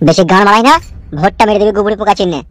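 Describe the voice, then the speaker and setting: A man's voice: high voice, very fast, fairly bright tone, no room sound. A man with a rural West Bengal accent voicing an insistent, bullying, foul-mouthed political henchman in a comic cartoon. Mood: threatening. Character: pushy, foul-mouthed male party henchman canvassing for votes. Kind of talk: fast comic cartoon dialogue.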